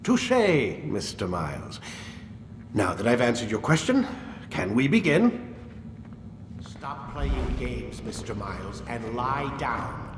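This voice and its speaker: Smug older scientist in a game, pitch swings around, echoey room w a bit of noise. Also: male voice, sing-song. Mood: lecturing, sardonic. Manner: mocking, pedantic, deliberate. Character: condescending, smug older male scientist captor. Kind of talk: video game voice acting.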